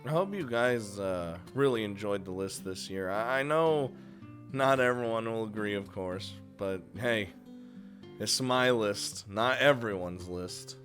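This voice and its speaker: A man's voice: lowish voice, animated, measured pace, a bit bright, faint music behind. A man hosting a casual gaming video, talking informally and swearing freely as he gives his commentary. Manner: informal, chuckling, frequent swearing. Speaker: video game YouTuber hosting a top five list.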